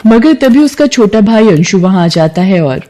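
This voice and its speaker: A woman's voice: medium pitch, expressive, moderate pace, mellow. Woman narrating a scripted moral story.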